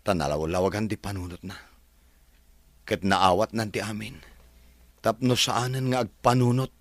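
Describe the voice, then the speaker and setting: A man's voice: on the low side, steady tone, normal speed, no echo. A man with an Ilocano Filipino accent playing a reassuring, devoted fiancé in a radio drama.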